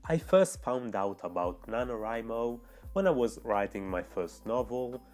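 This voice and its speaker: A man's voice: medium pitch, expressive, measured pace, darkish tone, soft background music. A man with an Italian accent, a non-native English speaker, narrating his own writing vlog in an earnest, chatty way. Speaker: writing vlogger. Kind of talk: scripted vlog voice-over.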